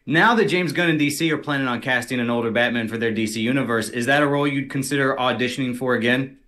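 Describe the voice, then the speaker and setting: A man with a medium-pitched voice works as an interviewer. He puts his questions conversationally, as in an informal sit-down interview. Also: quick pace, bright, forceful tone.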